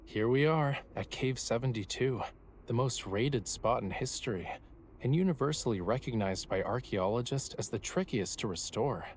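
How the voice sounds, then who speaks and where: A man's voice: medium pitch, animated, normal speed, a little hiss or hum, natural voice. A man playing a teasing, playful young male guide in a dramatic game-style story, speaking casually. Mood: curious, wry.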